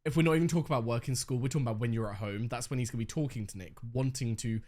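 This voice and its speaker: A young man hosting a reaction video in casual, candid chat, slightly hoarse as he is losing his voice. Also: mid-range voice, rapid-fire, darkish tone. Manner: chatty, candid, swears freely.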